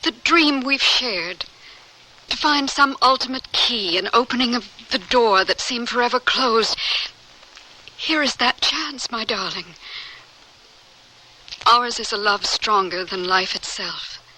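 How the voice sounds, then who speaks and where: A visionary woman scientist who knows she is dying and refuses to despair. Her voice is bright and fairly high, delivered with the intense, declamatory fervor of old-time radio drama. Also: takes it slowly, pauses often, full character voice, low-quality recording. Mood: fervent, tender.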